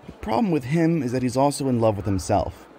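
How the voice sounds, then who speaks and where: A man's voice: medium pitch, very animated, fairly quick, warm tone, a little hiss or hum. An actor playing a bemused, wry male accomplice in a comedic audio drama.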